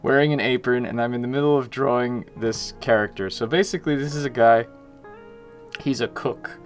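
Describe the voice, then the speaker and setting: A man's voice: mid-range voice, normal speed. A young man talks casually into a desk mic from a small room at home. He greets viewers one by one and pokes fun at himself in an ordinary mid-range voice. Faint music plays behind him. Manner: chatty and self-mocking, greets chat viewers by name. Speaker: indie game developer streaming. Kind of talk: casual livestream chat.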